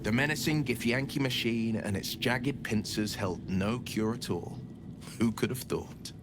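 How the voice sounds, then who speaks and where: A man's voice: medium pitch, measured pace, noisy background, close to an everyday voice. A male actor playing an urbane, eloquent wizard with a wry, theatrical manner. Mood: dry sarcasm.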